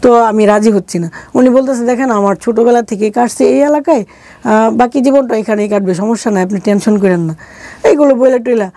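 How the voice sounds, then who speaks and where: A woman's voice: on the high side, a little fast, dark tone, dry recording. A middle-aged woman with a Bangladeshi accent telling a personal story conversationally as an interview guest, speaking colloquially and acting out quoted dialogue.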